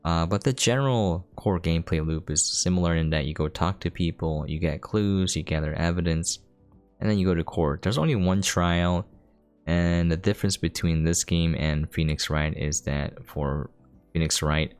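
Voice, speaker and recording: A man with a low-pitched voice talks through a video game as a streamer would. He is informal and explanatory, with faint music playing behind him. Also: normal speed, smooth. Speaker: gameplay streamer, commentator. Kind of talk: casual game commentary.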